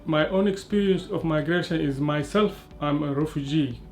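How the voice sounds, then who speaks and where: A man's voice: fairly high pitch, normal speed, a little mellow. A man speaks in simple, direct sentences with a somewhat raised voice. Faint music sits beneath his words. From Somalia. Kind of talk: interview answer. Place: indoor room.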